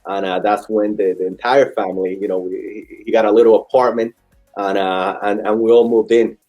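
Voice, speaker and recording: A man around forty from the Dominican Republic with a Dominican Spanish accent, telling his story as an interview guest in a halting, earnest, repetitive way. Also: lowish voice, little pitch movement, dull audio, soft background music. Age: late 30s to 40. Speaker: interview guest, restaurant owner. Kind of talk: conversational personal storytelling.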